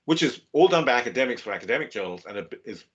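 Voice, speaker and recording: A man giving a measured, steady webinar lecture over a video call. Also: mid-range voice, husky. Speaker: guest lecturer. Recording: dull lo-fi video call audio.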